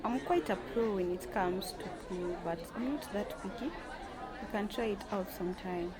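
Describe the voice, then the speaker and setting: A woman's voice: mid-range voice, moderate pace, a loud crowd, lightly acted. A woman playing a proud, weary company boss in an amateur radio drama. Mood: relaxed.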